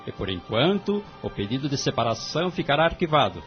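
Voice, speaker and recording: An actor playing a solemn, benevolent judge, speaking formally and ceremoniously in an audio drama. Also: male voice, medium pitch, sing-song, a little fast, close to an everyday voice. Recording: dull lo-fi audio, music underneath.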